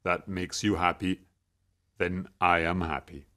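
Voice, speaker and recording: A man playing a tender, wry middle-aged father in an audiobook, speaking sincerely and haltingly. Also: low-pitched, little pitch movement, fairly bright tone. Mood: tender, earnest. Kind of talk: dramatised audiobook dialogue.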